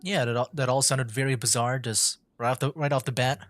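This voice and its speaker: A woman's voice: a bass voice, steady tone, fairly quick. A woman livestreamer giving casual, dry, understated commentary while she plays a game. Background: game music.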